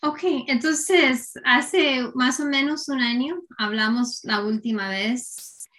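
A woman interviewer speaks in a slightly high voice. She is polite and formal, and she works through prepared questions with frequent fillers like "eh" and "ok.". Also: fairly even intonation, slow, a bit bright. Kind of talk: structured oral-history interview. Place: Connecticut, United States.

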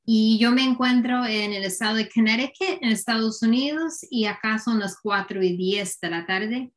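Polite woman interviewer, slightly high voice. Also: normal speed. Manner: polite, formal, asks questions with many fillers. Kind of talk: structured oral-history interview. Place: Connecticut, United States.

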